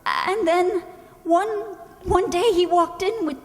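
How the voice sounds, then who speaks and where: In a long, echoing hall, an actress plays a distraught young wife in an old-time radio drama. Her voice is very high, and she speaks anxiously and haltingly, breaking off as she pleads for her husband. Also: exaggerated voice. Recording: roomy hall with long reverb.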